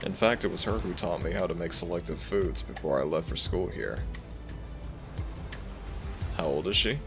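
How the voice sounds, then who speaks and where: A man playing a gentle young dragon prince, a devoted boyfriend talking tenderly to the listener in a romantic audio roleplay. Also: deep voice, moderate pace. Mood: fond, reflective. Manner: tender, affectionate, soft-spoken reassurance. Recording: music behind the voice. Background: background music.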